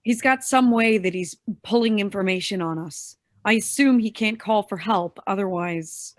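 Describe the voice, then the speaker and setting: Actress as defiant young woman working for villains, stage drama. Medium pitch, dry sound. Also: moderate pace, no echo, lightly acted. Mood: worried. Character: bitter, defiant young woman turned reluctant henchwoman. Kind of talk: staged play reading.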